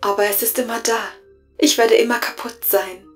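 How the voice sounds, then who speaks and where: A woman playing a resigned, gentle artificial intelligence in an audio drama, subdued and halting in delivery. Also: fairly high pitch, fairly even intonation, faint music behind, close to an everyday voice. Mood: weary, resigned.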